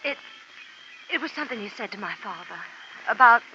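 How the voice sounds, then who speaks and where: Young femme fatale: high, very sharp voice, dramatic pleading, vintage radio sound. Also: female voice, lively intonation, a little fast. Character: young femme fatale, colonel's daughter, urgent and willful. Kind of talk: 1940s radio drama acting. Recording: dull, noisy old radio recording.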